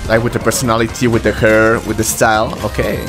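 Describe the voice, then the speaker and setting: A man in his twenties giving casual, halting, repetitive reaction commentary as a video host, recorded close up in a small room. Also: on the low side, level tone, music in the background. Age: 20s. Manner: halting, repetitive phrasing with filler words. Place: small indoor room at a desk.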